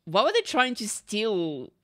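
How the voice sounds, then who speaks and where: A young woman streamer giving casual, expressive, joking reaction commentary full of slang. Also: medium pitch, unhurried.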